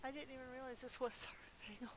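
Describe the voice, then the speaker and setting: A woman with a fairly high voice narrates her gameplay in a casual, chatty way. She sounds playful and often thinks aloud as a video host. A faint hiss sits behind her voice. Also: steady tone, fast talker. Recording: hissy recording with background noise.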